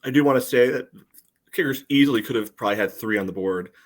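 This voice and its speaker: A man co-hosting a sports podcast, giving casual commentary in a halting, filler-heavy way. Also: medium pitch. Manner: halting, peppered with "you know". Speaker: podcast co-host.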